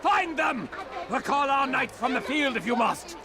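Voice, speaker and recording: A man's voice: very high voice, expressive, measured pace, heavily acted. A man playing a paranoid, bullying knight commander in a video game, agitated and barking accusations, with people around him. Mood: furious. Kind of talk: video game cutscene acting. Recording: busy crowd noise behind the voice.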